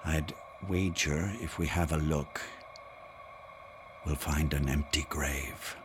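A man playing a sober, matter-of-fact colonial doctor in a period radio drama, with a measured, explanatory delivery. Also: very deep voice, steady tone. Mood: intent. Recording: clear ambient noise behind.

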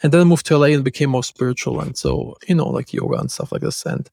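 Fast-talking gravelly man, German accent. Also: medium pitch, darkish tone, no echo, clean studio sound. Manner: fast, loose talk with many restarts and fillers. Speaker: podcast host. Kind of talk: casual podcast conversation.

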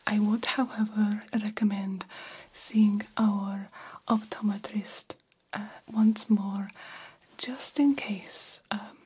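A woman in an ASMR roleplay voicing a formal, reassuring, slightly vain female vampire doctor in a gentle, breathy whisper. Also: medium pitch, low-quality recording. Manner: slow, formal, measured, gently encouraging.

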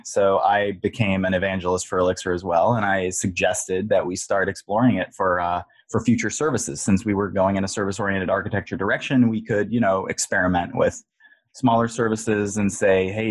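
A man being interviewed as a guest software engineer on a podcast, talking casually and haltingly with lots of filler words. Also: deep voice, fairly even intonation, no room sound.

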